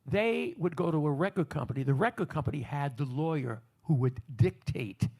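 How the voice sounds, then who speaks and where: A man's voice: a little high, expressive, moderate pace, gravelly, darkish tone. A man interviewing veteran singers in a casual chat, talkative and explaining music business history at length. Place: on a cruise ship.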